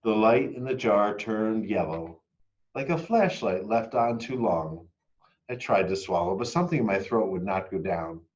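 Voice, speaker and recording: A man reading a children's picture book aloud expressively, moving between hushed and emphatic delivery. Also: fairly low pitch, expressive, moderate pace, muffled recording. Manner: dramatic, hushed and emphatic by turns. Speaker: read-aloud storyteller.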